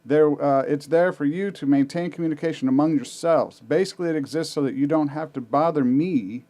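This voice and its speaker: A man's voice: a little high, brisk, dark tone, lightly acted. A man reading the part of a stern male commander who briefs scouts by radio, giving formal orders with dry sarcasm. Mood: commanding. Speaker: podcast co-host.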